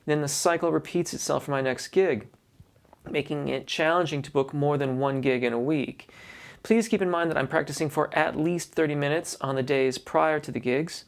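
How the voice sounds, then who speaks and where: A man's voice: fairly high pitch, normal speed, gravelly. A man who teaches voice, reading a viewer's question aloud and then giving practical advice, often restarting his sentences. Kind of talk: instructional talk to camera.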